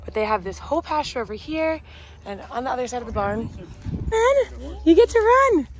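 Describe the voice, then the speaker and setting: A young woman in her twenties chatting excitedly on a farm, filming herself with a phone held at arm's length outdoors. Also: high voice, moderate pace. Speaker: vlogger showing her horse property. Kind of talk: casual vlog chat to camera. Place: outdoors on a farm, under a barn roof and in a pasture. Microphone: phone camera held at arm's length.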